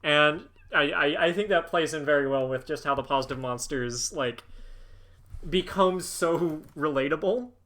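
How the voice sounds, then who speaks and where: A young man joining a livestream as guest, supportive and quick to laugh, often starting his sentences over. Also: a little high, fairly bright tone. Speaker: guest co-host. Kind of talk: casual livestream chat.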